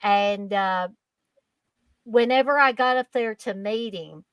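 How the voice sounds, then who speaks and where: A woman's voice: medium pitch, slow pace, smooth, no room sound. A woman with a Kentucky accent, telling a story as a podcast guest in an earnest, effusive way, often repeating herself. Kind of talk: casual storytelling chat.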